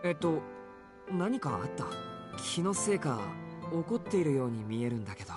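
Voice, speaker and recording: A man's voice: on the high side, big pitch swings, quick pace. An actor playing an uneasy, puzzled young man in a visual novel game. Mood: tentative. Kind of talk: Japanese visual novel voice acting. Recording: game music behind the voice.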